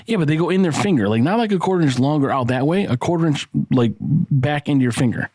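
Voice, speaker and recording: A man's voice: fairly high pitch, animated, fast talker, dark tone, husky, no room sound. A man co-hosting a podcast in crude, casual banter, blunt and teasing, with heavy swearing. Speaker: podcast co-host.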